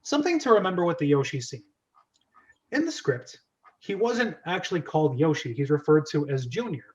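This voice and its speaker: A man's voice: fairly high pitch, big pitch swings, a little fast, a little mellow, dull audio. A man hosting and narrating an amateur script reading in a playful, chatty, self-interrupting way, with casual commentary.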